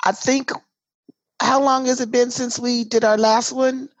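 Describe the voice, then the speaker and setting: A hoarse woman co-hosting a casual podcast chat, speaking emphatically and tossing in folksy asides like "girl" and "honey". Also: fairly high pitch, little pitch movement, measured pace, no room sound, poor audio. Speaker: podcast co-host. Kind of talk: casual chat between sisters. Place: home in a city in Washington State.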